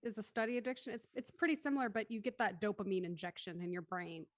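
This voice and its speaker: A woman's voice: medium pitch, fast, no echo, clean studio sound. A woman talking casually and reflectively in a group discussion.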